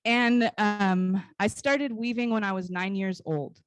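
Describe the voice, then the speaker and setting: An American woman in her forties giving an informal public talk in a conversational, personal storytelling way, with frequent pauses. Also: mid-range voice, steady tone, smooth, no room sound. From Northern California, United States. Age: 40s. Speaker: guest speaker, basket weaver and cultural educator. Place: live talk at a conference.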